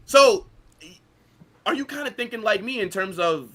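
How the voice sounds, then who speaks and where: A man's voice: high-pitched, lively intonation, normal speed, pushed and bright. A man in casual, opinionated football chat, hosting with slangy banter and emphatic rhetorical questions. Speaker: football show host and streamer.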